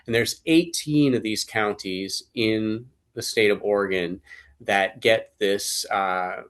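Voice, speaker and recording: Man, slightly low voice, hoarse with a cold, steady explanatory webinar talk. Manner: measured, explanatory, frequent uh and um fillers. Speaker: staff attorney presenting. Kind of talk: webinar presentation.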